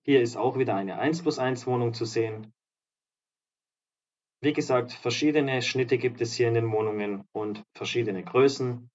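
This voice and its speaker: Slightly hoarse man giving an upbeat property tour. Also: mid-range voice, normal speed, darkish tone, highs cut off. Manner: upbeat, promotional, walks through rooms while talking. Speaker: real estate agent and vlogger. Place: apartment complex in a seaside town, indoors and outdoors.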